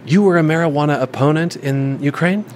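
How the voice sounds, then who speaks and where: A man reporting a news piece and interviewing a guest in a measured, inquisitive way. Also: on the high side, a little mellow, slight background noise. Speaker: radio reporter.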